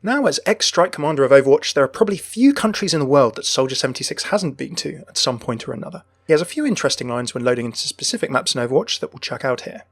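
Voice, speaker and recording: A man's voice: mid-range voice, animated, fast talker, few pauses, dry recording. A young man hosting a gaming channel, delivering scripted lore commentary in an enthusiastic manner. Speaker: video game channel host. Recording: dry close voice over music. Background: background music.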